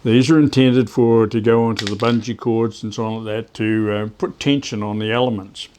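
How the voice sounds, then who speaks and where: A man's voice: fairly low pitch, flat delivery, a little mellow, a little hiss or hum. Hesitant man giving a hands-on how-to. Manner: hesitant, halting, talking while working with his hands. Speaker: ham radio hobbyist presenting DIY tips. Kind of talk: hands-on how-to demonstration, casual.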